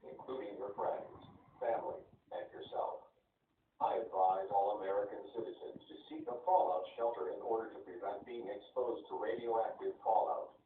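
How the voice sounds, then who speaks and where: A man's voice: lowish voice, a little hiss or hum, close to an everyday voice. Distant, echoey man playing a solemn government spokesman. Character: grave presidential representative addressing a nation at war. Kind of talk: scripted emergency address. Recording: distant, echoey, phone-like, music behind.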